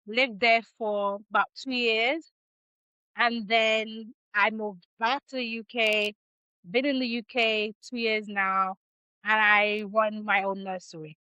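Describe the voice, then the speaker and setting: Woman in her thirties, from Nigeria, slow unhurried delivery, crisp bright tone, reflective, video call. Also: on the high side, dry recording, lo-fi sound. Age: 30s. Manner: measured, reflective, with frequent ums and restarts. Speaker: podcast guest. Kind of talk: casual interview chat.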